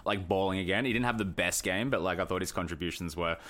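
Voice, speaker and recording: A man's voice: deep voice, fast talker, a bit bright. A man from Australia co-hosting a casual podcast, rambling with many ums and likes. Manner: rambling, lots of fillers. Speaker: podcast co-host. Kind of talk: casual chat.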